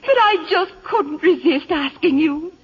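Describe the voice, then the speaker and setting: A woman's voice: sits high, animated, measured pace. A woman playing a timid, sentimental older spinster, hesitant and genteel, in a 1950s radio comedy. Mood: shy, fluttery. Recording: dull vintage 1950 radio recording.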